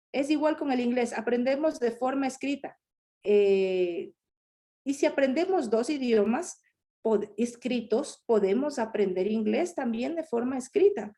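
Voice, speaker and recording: A woman who gives voice to a signed lecture over a video call. Her voice sits high but sounds soft and mellow. She explains in short phrases and keeps coming back to the same points. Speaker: sign language voice interpreter. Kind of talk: live voicing of a signed talk.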